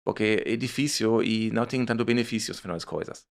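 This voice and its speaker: A man's voice: lowish voice, fast talker, creaky. A man with a German accent, a startup founder speaking as a guest, searching for words and restarting his phrases. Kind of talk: conversational interview.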